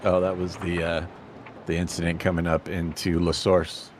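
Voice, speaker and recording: A man giving casual, low-key co-commentary on a live sim race in a conversational way. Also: little pitch movement, normal speed. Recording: faint background noise.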